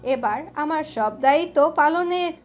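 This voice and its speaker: Woman as bossy, protective big sister in audio drama, high voice, faint music behind. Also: a little slow. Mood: firm. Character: firm, protective elder sister. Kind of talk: audio story acting.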